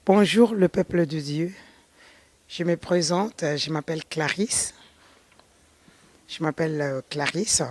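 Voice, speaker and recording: A middle-aged woman from Congo giving a slow spoken testimony and preaching, pausing and restarting as she talks. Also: sits low, darkish tone. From Congo (DRC). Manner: slow and deliberate, with hesitant restarts.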